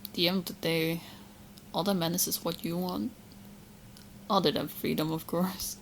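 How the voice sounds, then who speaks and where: A woman playing a sweet, obsessed young witch girlfriend in an ASMR roleplay, teasing and smug with coy, halting asides. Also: on the low side, fairly even intonation, creaky, a little hiss or hum, low-quality recording. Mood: coy, possessive.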